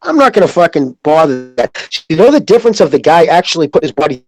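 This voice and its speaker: A man's voice: a little high, very animated, fast, a little mellow, poor audio. A blunt, sweary man from the United States in a casual, rambling sports chat. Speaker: podcast panelist.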